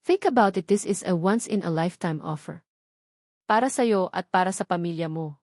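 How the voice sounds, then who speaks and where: A woman's voice: on the low side, moderate pace, a little mellow, no echo, clean studio sound, lightly acted. A woman voicing a cold, calculating rich young businessman in a romance audio drama, with a clipped, emotionless manner. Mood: businesslike, commanding.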